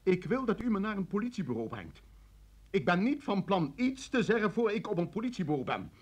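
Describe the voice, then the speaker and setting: Panicky suspect in a radio drama, husky highish voice, swings all over, stammers and keeps protesting. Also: male voice, big pitch swings, exaggerated voice. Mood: indignant. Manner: halting, stammering, insistent. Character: flustered, protesting male suspect of about forty, bewildered. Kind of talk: Dutch radio play acting.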